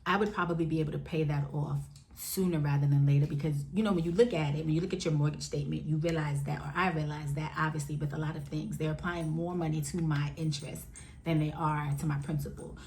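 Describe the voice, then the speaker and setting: A woman in her forties chatting frankly to camera at home, addressing viewers as y'all and jumping up in pitch now and then. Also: quick pace. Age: 40s. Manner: lively, with sudden jumps up in pitch. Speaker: vlogger. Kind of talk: candid casual chat to camera.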